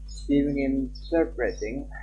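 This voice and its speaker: A man's voice: medium pitch, a little slow, dark tone, dull audio, soft background music. A man livestreaming a game, chatting casually and reading visual novel text aloud. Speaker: live streamer.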